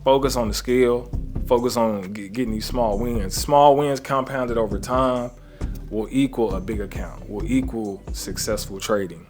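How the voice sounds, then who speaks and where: A man coaching traders in a motivational talk, earnest and conversational. He preaches gratitude, refers to his faith and often checks in with "right?". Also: fairly low pitch. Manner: conversational, often adds "right?" and "you know what I'm saying?". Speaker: trading coach.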